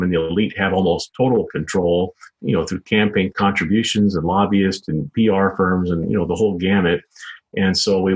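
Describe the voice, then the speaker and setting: An American man explaining his views as an interview guest, in halting, repetitive phrasing full of filler phrases and hedges. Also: sits low, husky, rough recording. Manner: halting, repetitive phrasing with many "you know" and "sort of" hedges. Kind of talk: informal explanatory interview answers.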